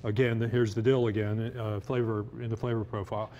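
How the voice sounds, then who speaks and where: A man's voice: fairly low pitch, level tone, fairly quick, a little mellow, muffled recording. A man speaking as a guest cook, casually explaining dishes with some hesitant phrasing during a TV cooking segment. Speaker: guest cookbook author and cook. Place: TV kitchen segment.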